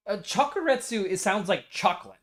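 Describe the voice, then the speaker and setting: A man's voice: high voice, expressive, fairly quick, a bit bright. A young American man chatting casually on a livestream, talkative and repeating himself as he good-naturedly argues a point. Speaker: streamer and ROM hacker.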